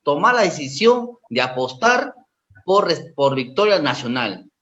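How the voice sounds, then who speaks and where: A man's voice: on the high side, big pitch swings, measured pace, a bit bright. A man interviewing a political candidate, asking direct, probing questions. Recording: dull lo-fi sound.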